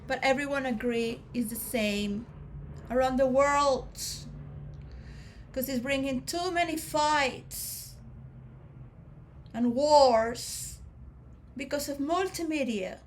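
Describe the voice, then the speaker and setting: A woman giving a rambling philosophical monologue to role players, shifting between soft musing and loud emotional outbursts. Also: high voice, a little hiss or hum. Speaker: speaker addressing role players.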